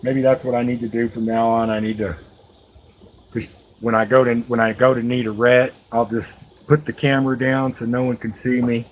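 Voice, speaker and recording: A middle-aged man with a medium-pitched voice talks in a loose, folksy way to his live stream audience while doing craft work. He rambles informally and addresses viewers directly. A faint, steady noise sits behind his voice. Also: normal speed, low-quality recording. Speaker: live streamer and knife maker. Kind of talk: casual live stream chat while working.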